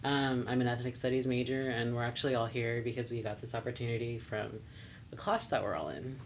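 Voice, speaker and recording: A young woman with a deep, raspy voice, casually leading a student podcast chat. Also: a little fast, a little hiss or hum. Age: young adult. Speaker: podcast co-host.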